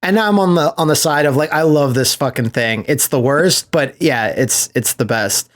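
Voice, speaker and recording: Guy on a podcast, voice a bit high, chatty storyteller, swears casually, quotes reactions. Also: moderate pace. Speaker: podcast host. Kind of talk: casual enthusiastic chat.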